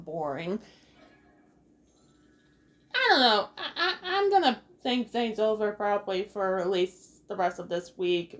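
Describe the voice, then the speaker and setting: A woman doing casual Let's Play commentary as host, playful and rambling with sing-song exclamations. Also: on the low side. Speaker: gaming video host.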